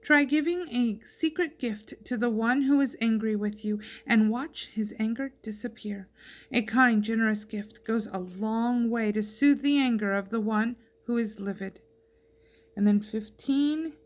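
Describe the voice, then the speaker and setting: A woman reading Bible verses aloud in a plainspoken, clearly phrased devotional style. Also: fairly high pitch. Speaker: scripture reader.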